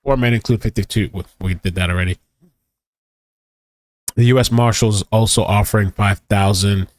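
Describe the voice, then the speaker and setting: A man with a fairly low voice speaks in a dry, close recording. He hosts a live stream, thinking out loud informally as he reacts to news and reads bits aloud. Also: fairly even intonation, moderate pace, no echo. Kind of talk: casual live-stream commentary and reading aloud.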